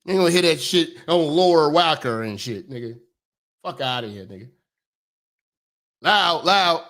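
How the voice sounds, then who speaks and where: Man, commentary host, brash comic rant, raised pitch, shouting at times. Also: normal speed. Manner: loud, profane, animated, often shouting.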